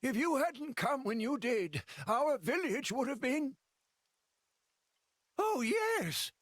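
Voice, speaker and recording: An excitable old village man, very high in pitch and swooping widely as he talks. He is folksy and effusive, a nostalgic video game character who stretches out his exclamations. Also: slow, no room sound, studio quality, full character voice. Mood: fond reminiscence. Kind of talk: video game cutscene acting.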